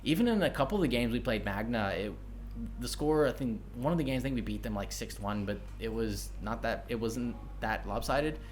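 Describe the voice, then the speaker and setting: Fast-talking male podcast host. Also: medium pitch, a little hiss or hum. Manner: rambling, thinks aloud. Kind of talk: casual chat.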